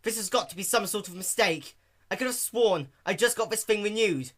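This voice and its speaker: Man putting on a loud, high, cocky pony girl. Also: lively intonation, a little fast. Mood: flustered. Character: brash, boastful young female cartoon pegasus pony.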